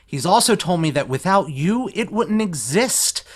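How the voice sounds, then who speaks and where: A man's voice: sits high, expressive, measured pace. A man playing a courteous, reassuring professional in an online table read of a stage musical, over a video call.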